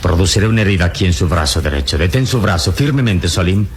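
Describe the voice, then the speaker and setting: An actor playing a calm, noble adventure hero in a radio drama, speaking in a deliberate, solemn, reassuring manner. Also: male voice, low voice, a little hiss or hum. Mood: grave, urgent. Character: calm, noble adult male adventure hero, unflappable leader. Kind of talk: old-style Latin American radio adventure acting.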